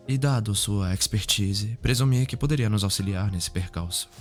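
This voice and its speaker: Casting: male actor as young forensic investigator, lowish voice, Brazilian accent, formal and clinical, ASMR drama over music. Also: close to an everyday voice. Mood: formal, polite. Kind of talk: ASMR roleplay acting.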